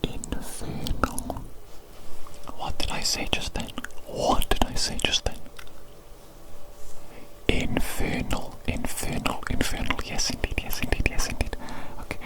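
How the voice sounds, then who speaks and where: A woman playing an evil doctor in a whispered ASMR medical roleplay, close to the mic, repeating phrases to the patient. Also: natural voice. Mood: attentive, reassuring. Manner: slow repeated phrases, soothing and attentive. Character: evil doctor, doting on patient, gleefully vengeful. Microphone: close ASMR microphone.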